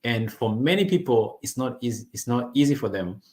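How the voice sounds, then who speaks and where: A man's voice: mid-range voice, animated, brisk, a little mellow. A man from Rwanda, English not his first language, talking casually to camera with many restarts and fillers. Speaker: online business vlogger. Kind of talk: casual personal talk to camera.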